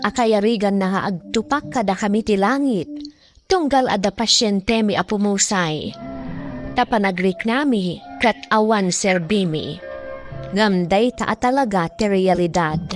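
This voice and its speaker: A weary, regretful female medic played by a woman narrates a radio drama in a Filipino accent. Her voice is mid-pitched, with faint music underneath. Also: natural voice.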